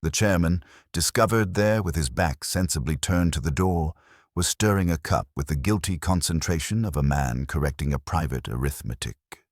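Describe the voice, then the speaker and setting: A man narrating a mystery story from a script in a measured, precise, literary manner. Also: extremely low pitch, dry recording, studio quality.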